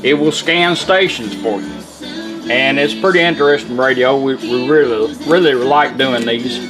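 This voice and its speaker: A man's voice: medium pitch, moderate pace, over music, low-quality recording. A man giving an informal, folksy product demonstration, explaining how things work as he goes. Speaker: radio restorer demonstrating a product.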